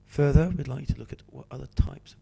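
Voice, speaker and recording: A male teacher with a cold, hoarse and croaky, patiently talking students through a homework sheet. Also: medium pitch, fairly even intonation, fast, dark tone. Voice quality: croaky, slips into whispery breaks. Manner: patient, explaining step by step. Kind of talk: homework help walkthrough.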